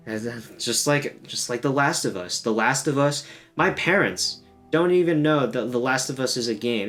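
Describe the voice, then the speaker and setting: A man hosting a gaming video, talking in an informal, self-deprecating, joking way like a casual video essay. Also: mid-range voice. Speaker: host of a gaming video channel.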